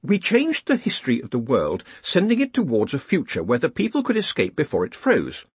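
A man's voice: mid-range voice, huge pitch swings, brisk, breathy edge, dry recording, pitched above the performer's own voice. An earnest young academic wizard, acted out by a male narrator in a fantasy audiobook. He is hesitant and deferential, explaining with care in a raised, swooping voice. The recording is dry and close.

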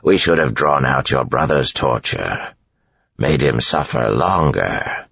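Man putting on a gloating villain priest, very deep gravelly voice, slow and hushed, menacing, kinda dull audio. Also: lively intonation, no echo, heavily acted. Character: cruel scheming male priest, villainous and gloating.

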